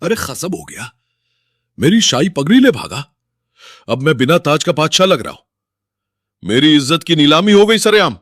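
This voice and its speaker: A pompous cartoon village chief, played by a man, laments with great melodrama. His fairly high voice swings dramatically, in a dry recording. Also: sing-song, unhurried, pauses often, a bit bright, no echo, exaggerated voice. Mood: distraught, loud. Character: pompous, wailing male village headman. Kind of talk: Hindi comedy cartoon voice acting.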